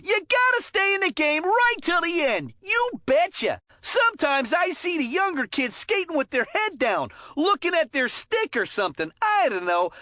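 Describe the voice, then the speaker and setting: High-pitched, excitable cartoon hockey commentator. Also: female voice, normal speed, hardly any pauses, no room sound, clean studio sound, full character voice. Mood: folksy advising. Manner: folksy, exclamatory, coaching slang. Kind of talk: cartoon sports game commentary.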